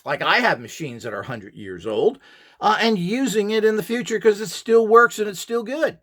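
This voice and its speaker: A man speaks in a somewhat high voice that swings widely in pitch. He lectures informally and emphatically, explaining his products with firm opinions. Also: lively intonation, moderate pace, fairly bright tone. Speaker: instructor and seller of esoteric devices and courses.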